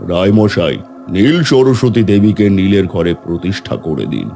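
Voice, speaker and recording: A man's voice: low voice, expressive, moderate pace, vocal fry, dark tone, faint music behind. A man voicing a solemn, commanding tantric priest in a dramatic horror cartoon, urgent and ominous.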